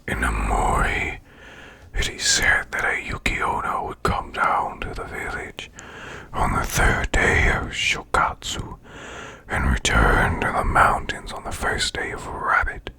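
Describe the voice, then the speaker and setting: A man voicing a demon boss who reads eerie folklore as bedtime ASMR in a slow, hushed, growling rasp with long pauses. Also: very deep voice, huge pitch swings, heavily acted. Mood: hushed, ominous. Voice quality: growling, gravelly, breathy rasp.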